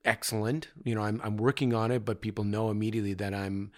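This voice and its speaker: Low-voiced male artist, relaxed interview guest, rambling and reflective. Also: fast talker. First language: not Czech; grew up in North America. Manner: thoughtful, rambling with fillers. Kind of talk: conversational interview. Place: artist studio.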